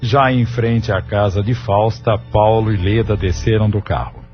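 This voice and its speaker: A man's voice: on the low side, steady tone, measured pace. A man with a Brazilian accent reading scripted narration for an audio drama. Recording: dull lo-fi audio with music bed.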